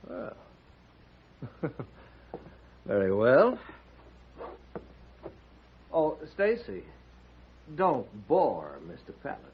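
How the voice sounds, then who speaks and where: A man's voice: medium pitch, wildly swooping pitch, very slow, pauses often, full character voice. An actor playing a nervous, evasive gambling businessman in a 1950s radio western, hesitant and prone to stammering. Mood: flustered, evasive. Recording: dull old radio recording, faint noise.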